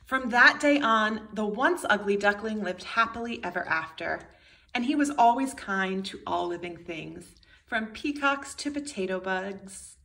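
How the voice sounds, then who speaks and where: A woman's voice: mid-range voice, animated, measured pace, creaky, sharp tone. A woman in her thirties, a kindergarten teacher reading a storybook aloud expressively to young children.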